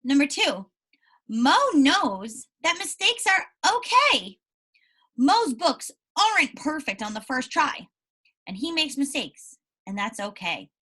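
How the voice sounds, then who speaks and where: High, animated woman teaching young children. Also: takes it slowly. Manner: encouraging, checks in with questions. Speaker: primary school teacher. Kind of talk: reading aloud and explaining to young pupils.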